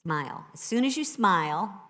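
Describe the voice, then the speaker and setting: A woman minister with a low voice, preaching a sermon and leading a guided meditation in a conversational, encouraging way to a live congregation. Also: sing-song, normal speed, fairly bright tone. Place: church sanctuary with a live congregation. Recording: live church recording with long reverb.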